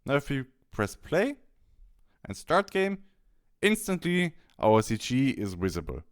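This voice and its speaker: A man narrating a software tutorial, explaining things step by step. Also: mid-range voice, sing-song, measured pace, clean tone. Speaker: tutorial host. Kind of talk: software tutorial narration over screen recording.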